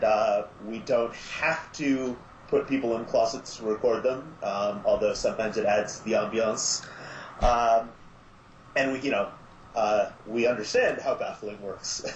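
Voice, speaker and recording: A man speaking as an interview guest in casual chat, enthusiastic but halting and reflective. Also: medium pitch, echoey room, a little hiss or hum, rough recording. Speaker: podcast guest, audio drama maker.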